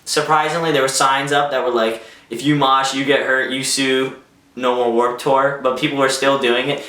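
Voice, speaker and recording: A young man telling a personal story in a casual vlog, with an excitable manner and acted-out quotes. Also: medium pitch, normal speed. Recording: roomy echo, distant microphone.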